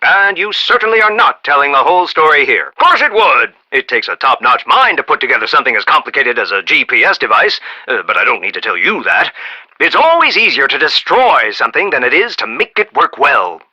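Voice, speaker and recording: An actor playing a proud, eccentric self-made American inventor tycoon in a video game, talking in a folksy, rambling, emphatic way. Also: male voice, medium pitch, big pitch swings, fairly quick, sharp tone, husky, full character voice, rough recording. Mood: wary, shrewd, then breezy, opinionated. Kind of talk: animated video game voice acting.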